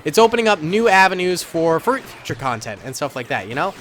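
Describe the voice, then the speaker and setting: A man's voice: fairly high pitch, very animated, fairly quick, a bit bright, slight background noise. A man hosting casual commentary over a battle simulator game, playful and slangy with frequent chuckles, his nose stuffed up from a cold. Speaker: gaming channel host.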